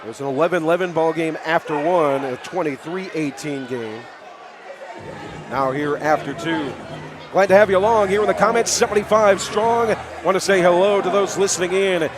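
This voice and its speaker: A male sports announcer with a fairly high voice works a live basketball broadcast. He projects in an upbeat, chatty way, moving between play-by-play and game summary from a high school gym. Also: moderate pace, a crowd in the background.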